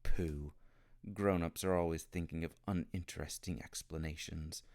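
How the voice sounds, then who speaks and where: A man's voice: low voice, steady tone, normal speed, darkish tone, dry recording. A man voicing an eager, bossy and imaginative schoolboy in a storybook reading. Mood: excited, scornful.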